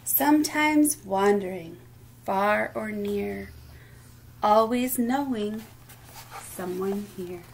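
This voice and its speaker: A woman hosting a children's story time, reading a picture book aloud expressively in short, deliberate phrases. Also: mid-range voice, creaky, a little hiss or hum, poor audio.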